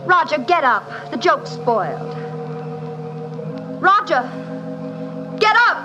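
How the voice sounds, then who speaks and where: An actress playing an anxious, exasperated young wife, theatrical and insistent in a 1940s radio drama. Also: female voice, sits high, big pitch swings, slow, full character voice. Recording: dull old radio recording, music behind.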